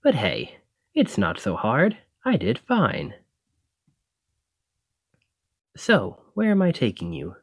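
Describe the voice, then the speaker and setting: A man's voice: mid-range voice, wildly swooping pitch, unhurried, breathy edge, dry recording, clean studio sound, exaggerated voice. A man playing a chatty, cheeky stand-in carriage driver in an audio roleplay, talking casually and awkwardly to the listener with sly insinuation. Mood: awkward, friendly. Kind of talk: ASMR roleplay acting, addressing the listener.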